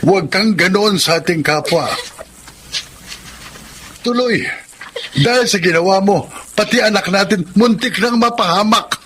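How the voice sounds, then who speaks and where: A man's voice: sits high, unhurried, pauses often, fairly bright tone, a little hiss or hum. A man playing a stern middle-aged husband and father in a Tagalog radio drama, admonishing and reproachful.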